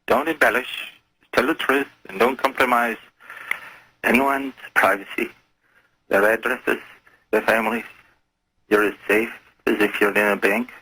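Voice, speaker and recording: A man calling in to a radio show, talking in a folksy, calmly menacing way. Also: medium pitch, unhurried, long pauses, breathy edge, no echo, low-quality recording. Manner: folksy, calmly menacing, addresses others as lad and lassie. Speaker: phone-in caller. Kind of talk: casual conversational radio call.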